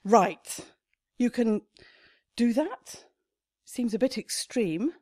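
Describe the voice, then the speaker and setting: A woman's voice: a little high, animated, slow pace, darkish tone, husky, no echo, studio quality, exaggerated voice. A woman acting in a radio comedy as an indignant, anxious shop owner with a questioning, exasperated manner. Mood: sceptical.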